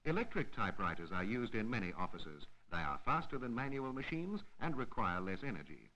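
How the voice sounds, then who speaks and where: A man's voice: fairly low pitch, very animated, fairly quick, bright, forceful tone, rough recording. A man reading scripted narration for a 1960s educational film in a formal, instructional manner.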